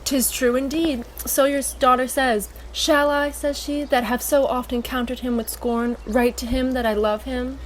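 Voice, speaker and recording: A woman's voice: high-pitched, flat delivery, moderate pace, hardly any pauses, a little hiss or hum, close to an everyday voice, rough recording. A woman acting a sly, teasing young lord in an amateur Shakespearean radio play.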